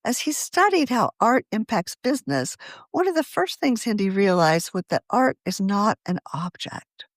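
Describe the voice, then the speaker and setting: A woman reading a scripted magazine article aloud for a podcast in a measured, articulate way. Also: medium pitch, big pitch swings, no echo, studio quality. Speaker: magazine editor reading her own article.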